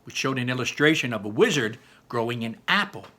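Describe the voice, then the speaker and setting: A man reading a children's story aloud in an expressive, animated way with dramatic pauses. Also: medium pitch. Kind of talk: animated children's book narration.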